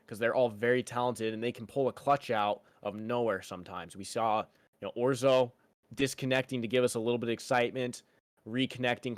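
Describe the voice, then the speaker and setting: A man's voice: mid-range voice, dry recording. A man doing live esports commentary, upbeat and building hype, often repeating a word as he searches for the next. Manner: upbeat, stretches words, repeats himself mid-sentence. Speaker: esports caster.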